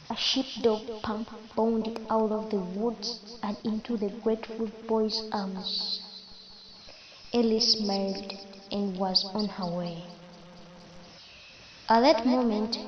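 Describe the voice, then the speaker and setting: A woman reading a children's story aloud slowly and carefully, with small slips, on a muffled recording with crowd noise behind. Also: on the high side. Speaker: storybook reader.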